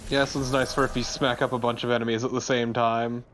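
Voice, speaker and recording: A man's voice: mid-range voice, flat delivery, fast talker, a bit bright, a little hiss or hum. A man co-commentating on a video game in casual chat, with a wry way of correcting people.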